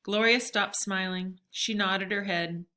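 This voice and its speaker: A woman reading a storybook aloud as a narrator, in an expressive read-aloud style. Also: medium pitch, unhurried.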